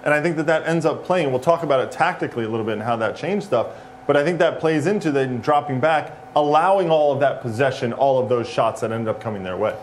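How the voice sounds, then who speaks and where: A man's voice: mid-range voice, slight background noise. A man in his thirties standing at a tactics screen in a studio, explaining soccer tactics with gestures on a lapel mic. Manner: quick and animated, gestures while standing. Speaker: tactics analyst. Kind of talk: tactical breakdown.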